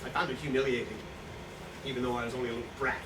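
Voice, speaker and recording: A man's voice: mid-range voice, steady tone, rapid-fire, natural voice. A man playing an irritable, dying old hospital patient in a staged reading, bitter and given to snappish outbursts. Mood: bitter, reminiscing. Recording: roomy, distant mic, noisy live recording.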